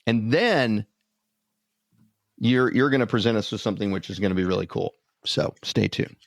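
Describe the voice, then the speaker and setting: A man's voice: on the low side, moderate pace, creaky, no echo, clean studio sound. A man co-hosting a podcast in a casual, conversational storytelling style. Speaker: podcast co-host.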